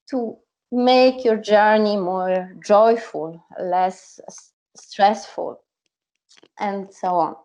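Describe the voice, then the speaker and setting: A woman's voice: medium pitch, mellow. A woman in her thirties with a mid-range voice speaks earnestly, telling her own story as a psychologist and coach. The sound is slightly dull and lo-fi, like a video call. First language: Polish. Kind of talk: online talk.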